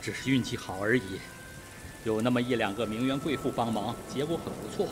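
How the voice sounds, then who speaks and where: Overwrought doctor male actor for a radio whodunit: middle pitch, fretful, guilt-ridden, music running behind. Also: brisk, close to an everyday voice. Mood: haunted, confessional. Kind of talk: Mandarin radio mystery drama acting.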